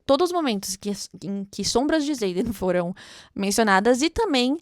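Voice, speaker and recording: A woman's voice: on the high side, very animated, normal speed, dry recording, clean studio sound. A woman with a Brazilian accent hosts a book read-along, commenting casually and thinking aloud in an informal, chatty way.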